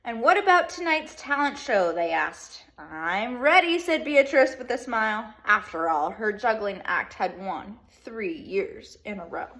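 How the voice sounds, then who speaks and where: A woman teacher reading a children's picture book aloud in an expressive way, with casual asides to young pupils. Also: medium pitch, a little slow, hardly any pauses. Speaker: second grade teacher.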